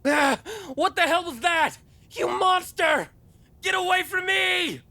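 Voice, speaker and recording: A man's voice: extremely high pitch, animated, slow, pushed and bright, shouting, full character voice. A man playing a smug, cruel, mercenary vampire gang boss in an audio drama, taunting and businesslike. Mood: terrified.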